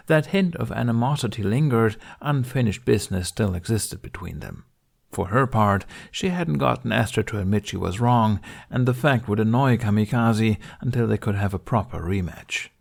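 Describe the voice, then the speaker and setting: A man reads a storybook aloud in a fairly low voice with a noticeable gravelly fry. His delivery is scripted and measured, with expressive stress on chosen words. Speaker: audiobook narrator.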